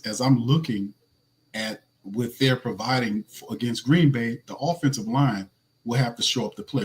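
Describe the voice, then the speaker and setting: A man with a raspy voice hosting a sports podcast, talking loosely with slang and running through game stats. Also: mid-range voice. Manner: loose, slangy, restarts a lot, reads stats. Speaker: podcast host. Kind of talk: casual sports podcast talk.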